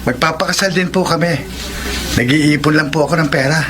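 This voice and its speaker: An actor as a smitten, highish-voiced young Filipino suitor. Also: male voice, fairly quick, noisy background, lightly acted. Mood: earnest, reassuring. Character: smitten young suitor, cheesy, sweet, earnestly devoted. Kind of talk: Filipino radio romance drama acting.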